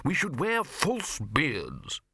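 A man voicing an elderly wizard lecturer in an audiobook, eager, earnest and smugly pleased with his own cleverness, speaking slowly. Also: a little high, big pitch swings, pushed and bright, dry recording, studio quality, voice pushed up. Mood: triumphant, smug. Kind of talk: audiobook character acting.

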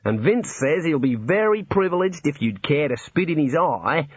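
A man's voice: a little high, sing-song, normal speed, husky, no room sound, lo-fi sound, voice pushed up. A man voicing a cheerful prison warder, breezy and matter-of-fact about grim things. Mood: jovial. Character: cheerful older male prison warder, jovial, chatty.

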